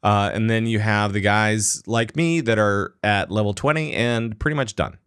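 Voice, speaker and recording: A man's voice: on the low side, fairly even intonation, moderate pace, a bit bright, clear, resonant tone, no room sound, clean studio sound. A man hosting a casual gaming podcast, talking loosely with lots of fillers and restarts. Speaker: podcast host.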